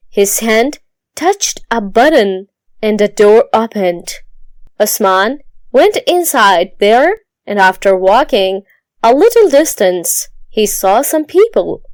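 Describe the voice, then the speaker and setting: High, lively woman storyteller. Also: no room sound. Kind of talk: scripted narration of a moral story.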